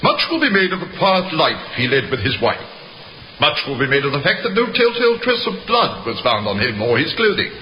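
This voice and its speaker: An actor playing a forceful, accusing prosecutor addressing a jury with declamatory 1950s radio drama oratory. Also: male voice, a little high, big pitch swings, brisk. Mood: accusing, emphatic. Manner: declamatory, emphatic. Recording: echoey, noisy 1950s radio recording.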